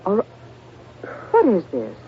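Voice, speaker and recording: An actress playing an exasperated, sharp-witted wife, wry and pointed, in a 1970s radio drama. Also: female voice, mid-range voice, huge pitch swings, measured pace, gravelly, husky, heavily acted. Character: exasperated, sharp-witted wife needling her nervous husband. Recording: dull, faintly noisy radio broadcast.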